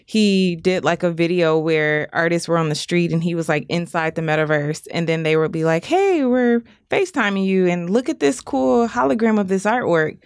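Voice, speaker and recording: A woman's voice: fairly low pitch, moderate pace, hardly any pauses, clear, resonant tone, no room sound, clean studio sound. A woman co-hosting a casual chat, speaking in an informal, slangy way. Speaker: co-host.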